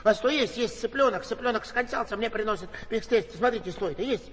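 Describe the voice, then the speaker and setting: A man's voice: high voice, brisk, natural voice. An actor playing a hungry man from Karelia who speaks broken Russian with a put-on Finnish accent and repeats himself insistently, on a stage recording. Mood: exasperated. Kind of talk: stage comedy sketch. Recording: stage recording in a reverberant hall.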